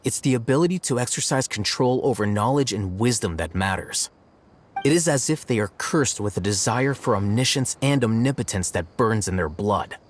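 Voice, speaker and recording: An actor voicing a detached adult male scholar in a video game, speaking in a measured, analytical way. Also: lowish voice, a little hiss or hum, close to an everyday voice. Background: soft game music.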